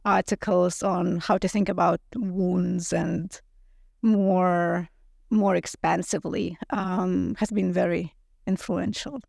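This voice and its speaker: A middle-aged woman from Lithuania talks with a gravelly edge to her voice. She explains her work earnestly as an interview guest. The recording is dry and close. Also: lowish voice, steady tone, takes it slowly, darkish tone, dry recording. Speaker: guest, anthropology professor. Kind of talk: interview answers.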